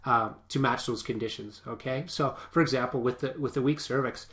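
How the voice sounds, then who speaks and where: A man who works as a personal trainer gives casual fitness advice with a stuffy, sniffly voice, often checking in with a quick "okay?". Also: medium pitch, a bit bright. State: stuffy, sniffly from a nasal infection.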